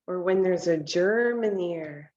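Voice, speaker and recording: A woman in her thirties reading a picture book aloud to children, deliberate and instructional, pausing to explain and ask questions. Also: on the low side, a little mellow, lo-fi sound. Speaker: read-aloud presenter for children. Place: home kitchen, indoors.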